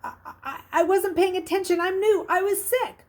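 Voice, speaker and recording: Storybook role: nervous young boy, higher voice, halting and pleading, performed by a woman. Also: steady tone. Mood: nervous excuses. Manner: stammering, pleading. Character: anxious young boy, new at school, making excuses.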